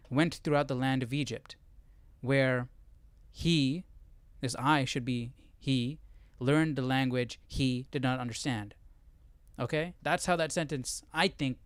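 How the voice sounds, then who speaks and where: A man's voice: medium pitch, no echo. A man hosting a casual livestreamed study session, thinking aloud informally and reading passages out as he works through them step by step. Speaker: Bible study livestream host.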